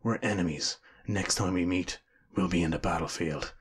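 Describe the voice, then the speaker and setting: A man's voice: low-pitched, moderate pace, slightly rough. A man playing a grave, theatrical devil in a radio drama, prone to dramatic speeches of doom. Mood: stern, smitten. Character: male devil, dramatic doom-preaching lover of an angel.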